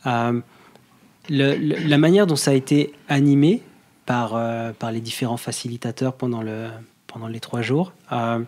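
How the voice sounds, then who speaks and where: A man, talking as a guest on a podcast in a relaxed, hesitant way, with many fillers and restarts. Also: mid-range voice, moderate pace. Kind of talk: casual conversation.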